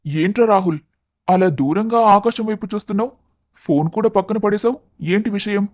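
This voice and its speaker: A man voicing a level-headed, encouraging young best friend who gives motivating advice in an animated moral story. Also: high-pitched, fairly quick, natural voice. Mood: concerned. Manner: advising, motivational.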